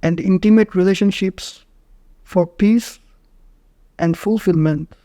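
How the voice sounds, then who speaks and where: A man narrating a guided meditation in short, measured phrases with frequent pauses. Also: high-pitched, warm tone, no echo, clean studio sound.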